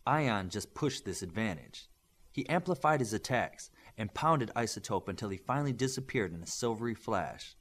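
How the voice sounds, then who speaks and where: A man's voice: fairly low pitch, expressive, normal speed, a bit bright. A man reading a scripted adventure story aloud as an audiobook narrator.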